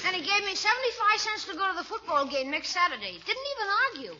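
A woman's voice: very high voice, animated, quick pace, pushed and bright, a faint crowd, full character voice. A woman playing a cheeky, slangy American schoolboy in a 1940s radio comedy, brash and wisecracking. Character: cheeky, slangy, grumbling young schoolboy nephew. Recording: muffled, noisy old radio recording.

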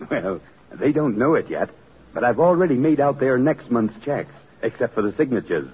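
A man's voice: mid-range voice, big pitch swings, moderate pace, breathy edge. A man acting a kindly, confident businessman ally in a vintage radio drama, with an assured, reassuring delivery. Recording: tinny, narrow old radio recording.

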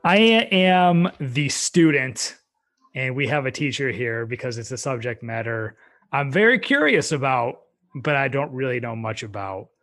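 A man's voice: mid-range voice, animated, unhurried, creaky, fairly bright tone, faint music behind. A man hosting a podcast, casual and friendly, with many fillers and restarts. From United States. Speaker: podcast host. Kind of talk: casual interview chat.

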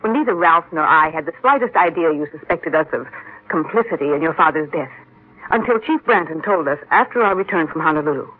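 A woman's voice: low voice, lively intonation, a little fast, breathy edge. Firm stepmother on tinny old radio. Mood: earnest, composed. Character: composed, firm stepmother explaining herself. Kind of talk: 1940s-50s radio drama acting.

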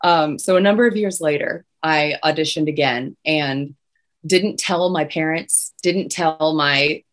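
A young woman singer with a low voice, chatting casually as an interview guest. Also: unhurried. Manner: chatty, many restarts and fillers.

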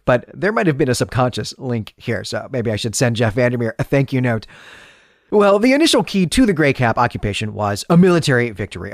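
A man's voice: mid-range voice, no room sound, clean studio sound. A man hosting a book discussion podcast, explaining in a conversational, semi-scripted way with emphatic phrasing. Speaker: podcast host and historian.

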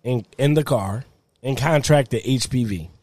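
A man co-hosting a podcast who tells stories in animated, quick bursts during casual banter. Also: medium pitch, dry recording. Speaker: podcast co-host.

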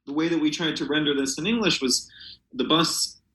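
A man speaking as a literary translator in an unscripted interview, halting and often searching for words. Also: on the high side, fairly bright tone, reverberant, poor audio.

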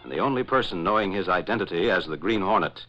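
Low-voiced, dramatic 1940s radio announcer man. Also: lively intonation, a bit bright, faint music behind. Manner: emphatic, deliberate announcer delivery. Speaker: announcer and narrator. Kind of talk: 1940s radio scripted narration. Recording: dull old radio recording.

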